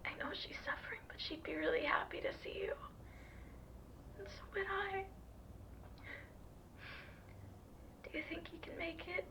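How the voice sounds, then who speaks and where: A woman playing a grieving, lonely ex-partner who pleads softly and tearfully in an ASMR roleplay. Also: very high-pitched, steady tone, fairly quick. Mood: quietly pleading. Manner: soft, breathy, tearful pleading. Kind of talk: intimate ASMR roleplay acting. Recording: muffled, distant-sounding audio.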